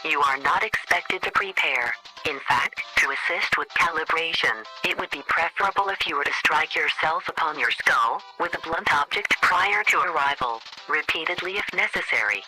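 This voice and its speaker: A man playing a coolly condescending female government official, leaving a formal, deadpan bureaucratic voicemail in a radio comedy. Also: a little high, moderate pace, soft background music, poor audio. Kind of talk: scripted comedy radio monologue.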